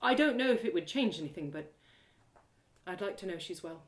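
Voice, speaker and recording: A woman voicing an awkward, earnest elf woman in a storybook reading, with a hesitant, halting manner. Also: lowish voice, lively intonation, lightly acted. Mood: sheepish.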